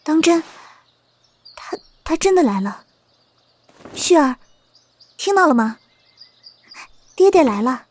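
An actress playing a gentle, anxious young wife and mother in a period audio drama, soft, tender and girlish. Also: sits high, sing-song, takes it slowly, pauses often, slight background noise, full character voice. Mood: eager, tender. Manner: tender, pleading.

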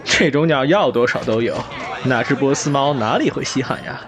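An actor playing a talkative, excitable young nobleman in a Chinese audio drama, cheeky and exclamatory in manner. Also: mid-range voice, moderate pace, crowd noise, lightly acted. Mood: indignant, animated. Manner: chatty, exclamatory, teasing.

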